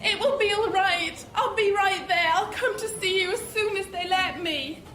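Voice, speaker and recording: An actress playing a frightened, desperate daughter in an audio drama, tearful, distressed and pleading. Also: female voice, very high-pitched, moderate pace, fairly bright tone. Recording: echoey room with faint noise.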